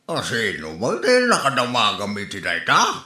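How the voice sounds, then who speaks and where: A man's voice: lowish voice, wildly swooping pitch, moderate pace, sharp tone, exaggerated voice. A man playing a feckless, evasive older father with an Ilocano accent in a comedy drama. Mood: defensive. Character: feckless older father who drinks and squanders money. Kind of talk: Ilocano comedy radio-style drama acting. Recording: roomy echo.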